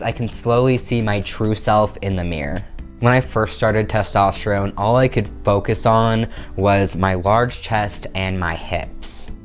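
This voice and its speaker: A young man in his twenties talking candidly to camera in a casual vlog, recorded on a lapel mic in a small bedroom. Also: lowish voice. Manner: upbeat and candid, animated hand gestures. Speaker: vlogger. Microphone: clip-on lapel mic.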